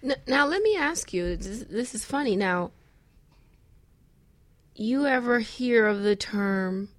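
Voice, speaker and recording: A woman's voice: a little high, expressive, takes it slowly, no echo. A woman co-hosting casual radio chat, questioning points in a roundabout, conversational way. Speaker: radio show co-host.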